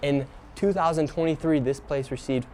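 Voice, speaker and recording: A young man walking city streets on camera, reading out names and figures in a deadpan way. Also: on the high side, normal speed, a little hiss or hum. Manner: deadpan, reads figures aloud. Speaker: on-camera investigator. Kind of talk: walking documentary narration.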